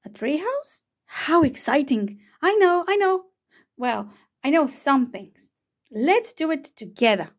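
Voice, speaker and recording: Lady doing a chatty know it all owl for a kids book, high pitched, excitable and kinda boastful, real up and down. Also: takes it slowly, full character voice. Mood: excited. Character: eager, chatty know-it-all owl, bossy but helpful. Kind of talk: children's storybook read-aloud.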